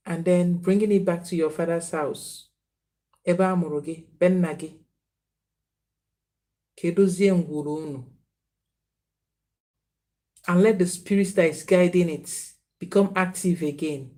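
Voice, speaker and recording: A woman's voice: lowish voice, mellow. A woman giving spiritual readings on a live stream, repeating names and phrases and earnestly scolding viewers. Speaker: spiritual reader.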